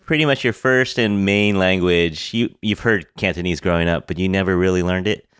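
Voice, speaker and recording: A man's voice: low-pitched, fairly quick, a bit bright, smooth, no room sound, studio quality. A man hosting a podcast who asks curious questions, rephrases as he goes and laughs along with his guest. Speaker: podcast host. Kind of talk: casual interview questions.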